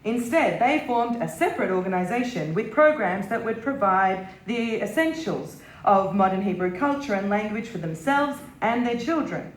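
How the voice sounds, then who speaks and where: A woman's voice: medium pitch, animated, moderate pace, few pauses. A woman giving a dramatic reading of a scripted memoir at a live event. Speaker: reader at a literary stage event. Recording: roomy, echoing live venue.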